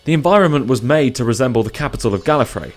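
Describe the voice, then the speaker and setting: A man's voice: mid-range voice, lively intonation, racing, soft background music. A man narrating a scripted audio drama in a dramatic storytelling style.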